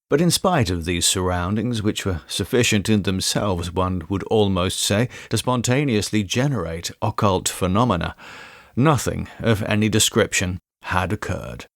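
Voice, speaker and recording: A man reading a classic eerie story aloud as scripted literary narration, in a measured, expressive storytelling manner. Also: deep voice, dry recording, studio quality. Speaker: audiobook reader.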